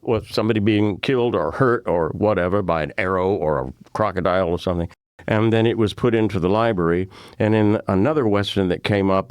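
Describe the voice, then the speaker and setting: A man chatting on a community radio show, telling old film stories in a slow, deliberate way. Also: deep voice, smooth, no echo, clean studio sound. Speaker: radio show host or guest. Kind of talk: casual radio chat.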